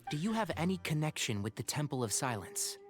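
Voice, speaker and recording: An actor playing an analytical, matter-of-fact companion in a video game, measured and probing. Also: male voice, on the high side, sing-song. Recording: game music under the voice.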